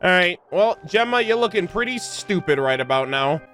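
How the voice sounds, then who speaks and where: Animated woman doing gameplay commentary. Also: on the low side, normal speed, faint music behind. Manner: slangy, teasing, expressive. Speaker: gaming streamer. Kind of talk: casual excited gameplay reaction commentary.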